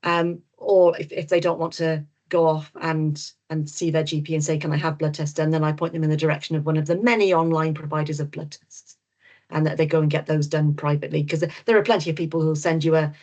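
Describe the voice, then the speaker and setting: Frank Welsh woman with a low voice. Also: little pitch movement, fairly quick, a little mellow, lo-fi sound. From Wales. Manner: frank, reassuring, many ums and repeats. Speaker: GP and nutritionist guest speaker. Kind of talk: webinar Q&A answers.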